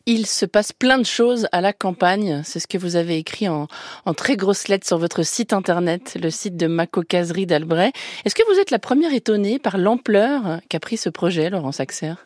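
A woman's voice: fairly low pitch, normal speed, a little mellow, no room sound. A woman with an accent from France hosting a radio programme, interviewing and presenting in a studio. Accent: French from France. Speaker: radio show host.

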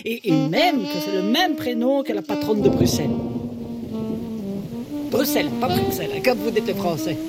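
A woman voicing a chatty, proud female saxophone with a Belgian accent in a children's radio story, talking in a lively, theatrical way. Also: on the high side, brisk, few pauses. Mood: indignant. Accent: Belgian French. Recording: with loud music behind.

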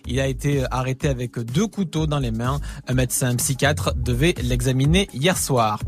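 A man's voice: mid-range voice, moderate pace. A man presenting a morning radio news bulletin in a lightly conversational style. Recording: music bed under the voice. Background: music.

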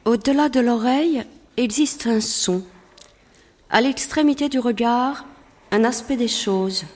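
A woman reading poetic lines in a hushed, deliberate way. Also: fairly high pitch. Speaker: reader. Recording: long reverb from a live hall.

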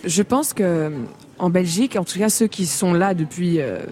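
A woman's voice: on the low side, normal speed, dark tone. A woman from the Congo, a singer as a radio interview guest, talking candidly with frequent laughs and fillers.

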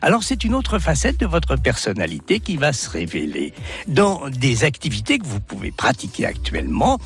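A man's voice: mid-range voice, huge pitch swings, moderate pace, gravelly, faint music behind. A man giving horoscopes as a radio guest, emphatic and sing-song, repeating each sign's name. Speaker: astrologer guest.

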